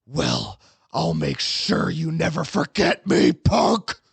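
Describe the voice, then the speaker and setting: A man acts out a young antihero bent on revenge, full of demonic rage. He taunts and barks orders with menace and a gravelly edge. The sound is close and dry, in an amateur audio drama style. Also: a little high, wildly swooping pitch, takes it slowly, no echo, voice pushed up, exaggerated voice. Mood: menacing. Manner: taunting, snarling, commanding. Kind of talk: hobby audio drama acting.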